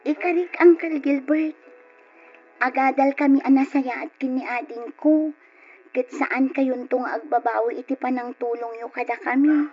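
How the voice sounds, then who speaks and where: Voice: high-pitched, steady tone, a little slow. An actor playing an earnest older orphan sibling in an old radio drama, sincere and pleading, with an Ilocano accent. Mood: grateful. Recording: dull lo-fi radio recording with music.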